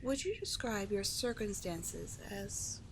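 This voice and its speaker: Woman voicing an unhurried, courtly sea witch. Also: mid-range voice, animated, background noise. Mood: courtly, reassuring. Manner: soothing, formal endearments, unhurried. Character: calm, courtly, teasing sea witch, gentle yet slightly monstrous. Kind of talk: scripted ASMR roleplay monologue.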